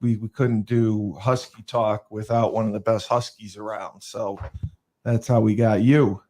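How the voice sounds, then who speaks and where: A man's voice: lowish voice, flat delivery, a little slow, mellow. Jokey boastful male podcast host. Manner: jokey, boastful, self-deprecating. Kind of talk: casual sports podcast chat.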